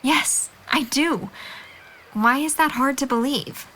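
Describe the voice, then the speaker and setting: A woman's voice: high-pitched, slow, bright, forceful tone, slight background noise, exaggerated voice. A woman acting a kind, encouraging young friend in an audio drama, speaking with patient, sincere reassurance. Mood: earnest.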